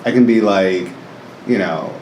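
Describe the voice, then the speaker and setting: A man's voice: low voice, unhurried, gravelly, slight background noise. Chatty man in his thirties, roomy sound. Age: 30s. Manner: chatty, animated, laughs often, many "like" fillers. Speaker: guest. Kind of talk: casual chat. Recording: roomy indoor echo with faint ambience.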